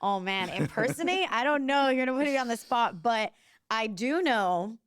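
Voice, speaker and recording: Young woman, Miami accent, co-host, smooth clear voice, close dry mic, chatty. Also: fairly high pitch, expressive, dry recording. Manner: chatty, frequent "like" fillers, quick laughs. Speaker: podcast co-host. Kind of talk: casual podcast chat.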